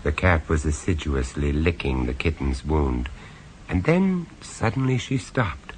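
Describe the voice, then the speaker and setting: A man narrating a radio horror story in the first person, measured and dramatic, with dry sarcasm when he speaks to the others. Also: very deep voice, big pitch swings, a little hiss or hum, exaggerated voice, low-quality recording. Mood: grave. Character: grave, wry male storyteller on a castle tour.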